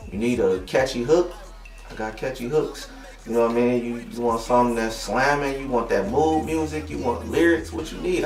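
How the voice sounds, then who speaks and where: A young man talking casually in an interview, slangy and laid-back, often asking "you know what I mean.". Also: mid-range voice. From Detroit, USA. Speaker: interviewed rapper. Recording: roomy, distant mic, music behind.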